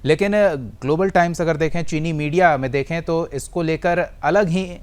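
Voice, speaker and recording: A man's voice: fairly high pitch, fairly even intonation, normal speed, clean tone. An Indian man anchoring a live news discussion and putting questions to a guest.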